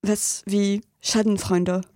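A woman chatting casually, haltingly searching for words and repeating them. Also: mid-range voice, flat delivery, warm tone, dry recording, clean studio sound. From French-speaking country. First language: French. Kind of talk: casual studio chat. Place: dry radio studio.

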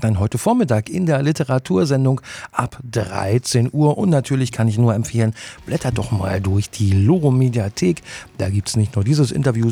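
A man's voice: mid-range voice, creaky, warm tone, slightly rough, no echo. A man presenting on radio, informally addressing the listeners.

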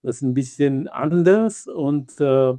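A man's voice: medium pitch, lively intonation, mellow, dry recording. Hesitant man with an Israeli accent. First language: Hebrew. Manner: halting, many fillers, non-native grammar. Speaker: band member. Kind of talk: interview answers.

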